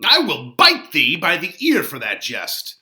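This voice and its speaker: A man reading dramatically as witty, boisterous young gentlemen trading teasing, emphatic Shakespearean banter. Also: a little high, wildly swooping pitch, measured pace, exaggerated voice. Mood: teasing, exuberant.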